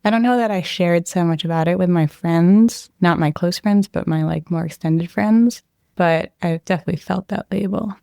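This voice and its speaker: A young woman with a low, gravelly voice talks through her past in a soft, reflective way. Her tone is mellow and the sound is close and dry, with no room around it. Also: normal speed, no room sound. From Israel, raised near Boston. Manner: soft-spoken, hedging with "like" and "I think". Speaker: internal medicine resident, interviewee. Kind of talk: reflective interview answers.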